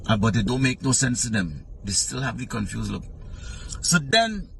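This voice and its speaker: A man with a Trinidadian accent telling a humorous travel story in an animated, playfully exaggerating way. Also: moderate pace, vocal fry, recorded from a distance, a little hiss or hum. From Trinidad and Tobago. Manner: animated, playfully exaggerating, rising pitch for punchlines.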